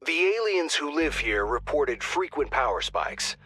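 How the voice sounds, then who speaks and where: An actor playing a field support officer on comms who talks in a casual, wry way. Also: male voice, a little high, big pitch swings, measured pace, sharp tone, slightly rough, full character voice. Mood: informal. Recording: game audio with faint music.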